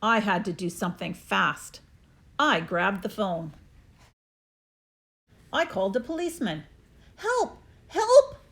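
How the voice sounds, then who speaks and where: A woman reading a children's story aloud expressively, with deliberate, dramatic emphasis. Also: medium pitch, sing-song, gravelly, pushed and bright. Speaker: storybook reader.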